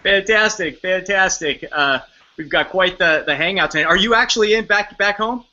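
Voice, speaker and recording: A man's voice: sits high, normal speed, pushed and bright. A man hosting an online hangout on a video call, upbeat but often hesitating with fillers. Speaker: host. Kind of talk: webcast hosting. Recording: muffled lo-fi video call audio.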